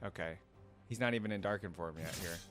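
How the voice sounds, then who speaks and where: A man's voice: sits low, quick pace. A man giving casual, chatty reaction commentary as an enthusiastic fan, in laid-back slangy wording. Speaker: host of a reaction video. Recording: music playing behind the voice. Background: game voice clips and music.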